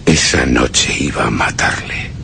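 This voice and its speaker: A man's voice: rumbling low, creaky, over music, exaggerated voice. An actor playing an embittered, vengeful Frenchman who secretly plots murder, delivering a brooding, theatrical, contemptuous monologue in a radio play. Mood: ominous resolve. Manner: brooding, theatrical, deliberate storytelling with contemptuous edge. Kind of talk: dramatic first-person radio play monologue.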